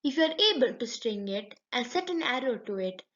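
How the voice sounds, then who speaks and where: A haughty, furious male sage of myth, as a woman storyteller reads him, demanding and imperious. The voice is fairly high and animated, on a slightly dull recording. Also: a little fast. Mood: angry, challenging.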